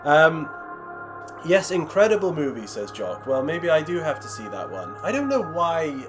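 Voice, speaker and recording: A man's voice: fairly high pitch, very animated, moderate pace, over music. A man on a live stream, chatting casually and reading chat aloud, rambling and self-deprecating, while losing his voice. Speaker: streamer building miniatures.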